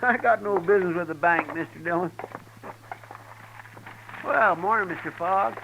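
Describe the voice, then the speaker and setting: An actor with a rural American accent playing an earnest, fretful, homespun deputy sidekick in a 1950s radio western. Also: male voice, sits high, normal speed. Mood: folksy, puzzled. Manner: homespun, plainspoken. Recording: noisy, crackly vintage radio recording.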